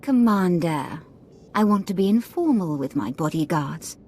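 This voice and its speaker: A sadistic dark lady of a space fantasy game, with a low, husky voice. She is imperious and sweetly mocking, every line edged with menace. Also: lively intonation, a little slow. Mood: mocking, cruel. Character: menacing, playfully sadistic female Sith lord. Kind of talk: scripted game dialogue.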